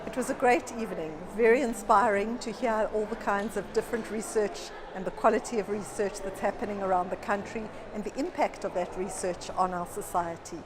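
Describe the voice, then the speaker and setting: A woman's voice: medium pitch, fairly even intonation, brisk, hardly any pauses. Woman, upbeat, noisy recording. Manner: enthusiastic. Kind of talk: event interview.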